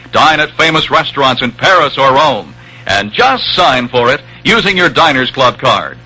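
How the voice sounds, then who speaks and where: A man's voice: a little high, pushed and bright, slightly rough, poor audio. A man reading a scripted 1960s radio commercial with a punchy salesman's delivery. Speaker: commercial announcer. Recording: vintage 1960s radio recording.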